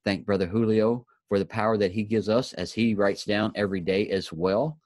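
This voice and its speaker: A man with a low voice leads a motivational group in a folksy, encouraging way. The recording is close and dry, with little room around him. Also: steady tone, normal speed, a little mellow, dry recording. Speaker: host of a daily inspirational group.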